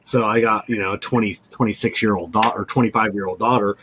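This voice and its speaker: A man's voice: lowish voice, steady tone, quick pace, fairly bright tone, a little hiss or hum. A man in his forties hosting a casual talk show, joking and often doubling back on his words. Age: 40s. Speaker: cigar talk show host. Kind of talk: casual chat.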